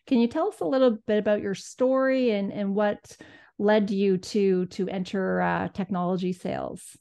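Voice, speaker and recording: A woman's voice: mid-range voice, fairly even intonation, moderate pace, creaky, dark tone. A woman co-hosting a podcast, asking a guest questions in an easygoing way with small hesitations. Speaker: podcast co-host. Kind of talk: interview questions.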